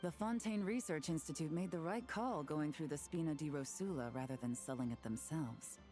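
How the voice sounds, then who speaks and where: A woman's voice: low voice, moderate pace, dark tone, faint music behind, lightly acted. A woman voicing a cool, shrewd, self-assured female investigator in a video game, composed and knowing. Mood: suspicious, measured.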